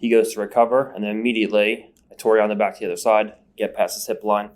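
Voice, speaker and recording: A man's voice: fairly low pitch, little pitch movement, quick pace, darkish tone, a little hiss or hum. A man giving step-by-step voice-over commentary as a grappling instructor, sniffling from a numbed nose. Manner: explanatory, step-by-step breakdown. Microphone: voice-over recorded separately.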